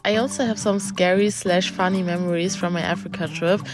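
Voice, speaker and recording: A woman's voice: fairly low pitch, steady tone, a little fast, music in the background. A young German woman with a German accent, hosting and narrating a casual interview with housemates in a friendly, chatty way.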